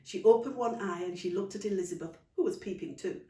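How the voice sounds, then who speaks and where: A woman author reading her own children's story in animated storybook narration. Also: medium pitch, fast talker. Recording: roomy, distant microphone.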